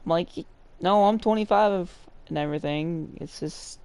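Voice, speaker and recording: A man's voice: sits high, normal speed, a little mellow, clear, resonant tone, no room sound. A man in his twenties with a young-sounding voice, chatting in a laid-back way while gaming. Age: 20s. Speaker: co-player. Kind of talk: casual chat while gaming.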